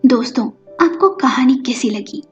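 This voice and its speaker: A woman's voice: on the high side, moderate pace. A woman storyteller narrating a scripted story. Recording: dull lo-fi audio with music. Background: background music.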